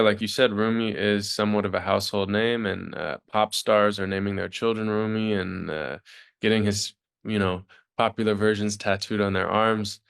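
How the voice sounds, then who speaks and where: A man's voice: lowish voice, vocal fry, fairly bright tone, clean tone. A man interviewing a guest, putting thoughtful questions in a tentative, wordy way.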